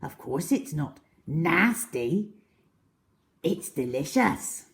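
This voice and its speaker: A huge, wicked storybook crocodile , played by a woman. It gloats and boasts with greedy relish, stretching words out slowly and swooping widely in pitch. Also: very animated, full character voice. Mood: savouring. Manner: gloating, relishing each drawn-out word.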